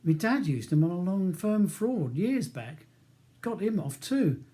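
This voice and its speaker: A man voicing a tall, practical Cockney prisoner with a rumbling voice and a plain-spoken, informal manner, in an audiobook. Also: big pitch swings, measured pace, dark tone. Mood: approving. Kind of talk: audiobook character dialogue.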